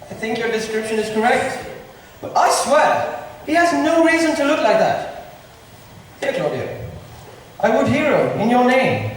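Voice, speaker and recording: A male amateur actor playing a genial, scheming matchmaker prince in a theatrical stage manner. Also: high voice. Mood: courtly, concerned. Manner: theatrical, declamatory. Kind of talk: amateur stage acting, school drama club. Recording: echoey hall from far off, faint noise.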